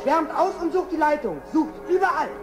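A brave young lion in a children's radio play. The voice is very high and animated, kind and earnest, over prominent music. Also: male voice, a little fast. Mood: concerned, decisive. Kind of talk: German children's audio drama acting.

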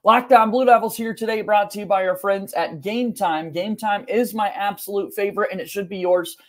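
A young man with a notably high-pitched voice hosts a sports podcast. He presents in the manner of a show host, recorded indoors in a small home room. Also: fairly even intonation, normal speed. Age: young adult. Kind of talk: sports podcast hosting and sponsor read.

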